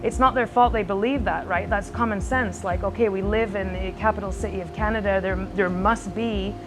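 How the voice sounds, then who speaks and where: Woman, earnest youth worker in a conversational interview, medium pitch, clear music bed. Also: fairly even intonation, fairly quick. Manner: earnest, often ends points with a questioning tag.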